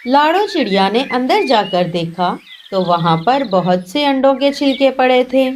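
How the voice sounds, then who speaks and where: Higher-pitched woman narrating a children's story. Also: very animated, measured pace, slight background noise.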